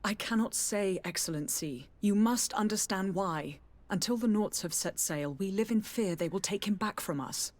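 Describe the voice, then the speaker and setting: A woman's voice: medium pitch, moderate pace, darkish tone, dry recording, natural voice. A woman playing a haughty, guarded noble lady in a period fantasy video game, formal and easily indignant. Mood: bitter, guarded.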